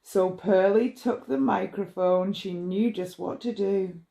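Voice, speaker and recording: Woman, bedtime story narrator, soft mellow tone, leisurely pace, expressive, measured. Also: medium pitch, gravelly. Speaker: storybook reader.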